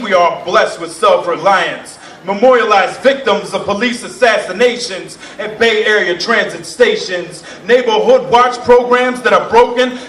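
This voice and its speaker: A man's voice: high-pitched, a bit bright, roomy sound, recorded from a distance, poor audio. A man performing spoken word poetry on stage in a rhythmic, impassioned, rhyming delivery. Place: performance venue. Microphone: stage mic, heard from a distance.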